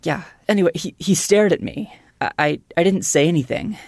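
A radio producer telling a story in a skit, stammering and halting in a conspiratorial way. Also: mid-range voice, creaky, darkish tone, dry recording, studio quality.

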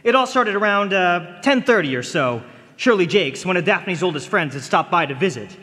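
An actor playing a nervous, flustered fiancé in a radio drama, stammering and hesitant. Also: male voice, sits high, lively intonation, normal speed, bright, forceful tone. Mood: anxious, exasperated. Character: nervous, flustered male fiancé, a client in trouble. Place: large performance hall stage. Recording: echoey concert hall, live stage broadcast.

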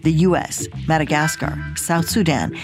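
A woman's voice: low-pitched, moderate pace, vocal fry, music in the background. A woman hosting a radio program, reading scripted narration and putting questions to a guest. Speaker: radio host.

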